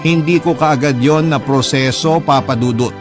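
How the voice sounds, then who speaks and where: A man's voice: medium pitch, moderate pace. A man narrating a radio drama in the first person, in a dramatic storytelling style. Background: music.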